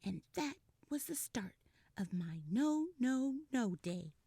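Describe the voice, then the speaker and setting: A woman hosting children's storytime, reading a picture book aloud in an expressive, animated way with breathy whispered stretches. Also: a little high, slow pace, no echo.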